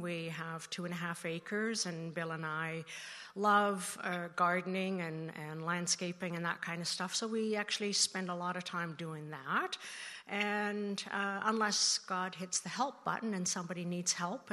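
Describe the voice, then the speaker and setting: Woman in her sixties, from the Netherlands, low-pitched, halting and thoughtful, handheld mic, long church reverb. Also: steady tone, sharp tone. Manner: thoughtful, halting, many fillers. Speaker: interview guest, church member. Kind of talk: personal testimony in church interview. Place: church sanctuary.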